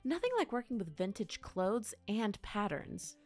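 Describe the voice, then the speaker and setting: A woman's voice: mid-range voice, big pitch swings, unhurried, darkish tone, faint music behind. A woman playing a teasing, supportive friend in a naturalistic audio drama. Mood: playful. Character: playful, supportive girlfriend and friend in costume.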